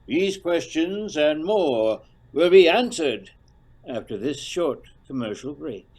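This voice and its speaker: Male actor as theatrical horror radio announcer, unhurried, dramatic, suggestive, ominous narration. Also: on the high side, very animated, darkish tone, heavily acted. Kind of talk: old-time radio horror show narration.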